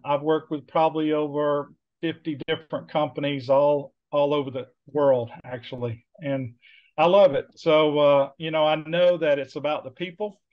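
A middle-aged man from Georgia with a Southern accent, talking casually as an interview guest over a video call, with many fillers. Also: medium pitch. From Georgia, USA. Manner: friendly, rambling with many fillers. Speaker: guest, business consultant. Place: home office with bookshelves. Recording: dull lo-fi video call audio.

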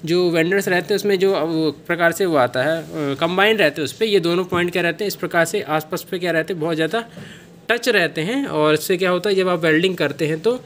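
A man's voice: high voice, quick pace, fairly bright tone, a little hiss or hum. A man, showing off a product on camera in casual talk, with many fillers and self-corrections. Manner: filler-heavy, repeats "kya" questions to himself, self-corrects. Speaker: seller demonstrating a product. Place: small room, indoors.

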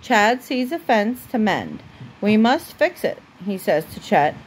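A woman reading a children's storybook aloud as a mother would at bedtime, with deliberate pauses. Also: mid-range voice, sing-song, a little hiss or hum, low-quality recording.

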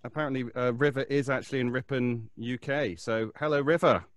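A man's voice: medium pitch, brisk, no echo, studio quality. A man bantering casually and jokingly as a performer on a live show. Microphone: video call from home.

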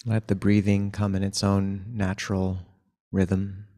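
Low, even-toned man guiding meditation. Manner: unhurried, gentle instruction with long pauses. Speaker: meditation teacher. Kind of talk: guided meditation instruction.

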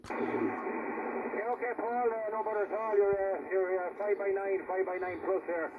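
A man's voice: sits high, flat delivery, unhurried, narrow, tinny audio. Irish man over very noisy shortwave radio. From Ireland. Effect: single-sideband radio transmission. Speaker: amateur radio operators. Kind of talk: casual two-way radio chat. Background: loud static and interference.

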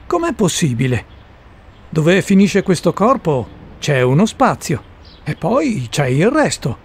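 A man's voice: on the high side, very animated, measured pace, slightly rough, exaggerated voice. A man voicing a curious, earnest male interviewer in a radio play, courteous and puzzled in his questioning, with music under the voice. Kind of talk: radio drama dialogue.

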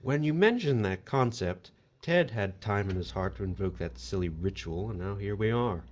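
A man plays a wry, officious spirit who collects the dead in a horror audio drama. His pitch swings theatrically through formal explanations, on a slightly muffled recording. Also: animated, moderate pace. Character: smooth-talking, officious male otherworldly collector of souls.

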